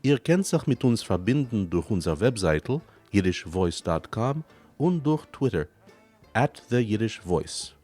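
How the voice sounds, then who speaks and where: A man reading a scripted promo for a radio program in a steady, listing delivery. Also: on the low side, measured pace, soft background music. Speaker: station announcer.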